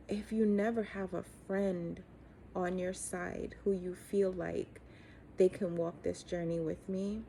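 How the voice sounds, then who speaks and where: Woman, middle-aged, mellow and fairly even in pitch, reflective faith-coach delivery, earnest and deliberate, slight background noise. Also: gravelly. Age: 40s or 50s. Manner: earnest, encouraging, deliberate with frequent pauses. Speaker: coach and host giving encouragement. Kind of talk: reflective motivational talk with religious faith.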